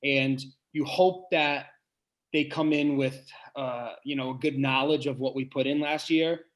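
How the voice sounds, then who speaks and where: Man, head coach, big voice, earnest, halting restarts, mid-pitched. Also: steady tone. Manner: earnest, thinks aloud with many pauses and restarts. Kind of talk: podcast interview answers.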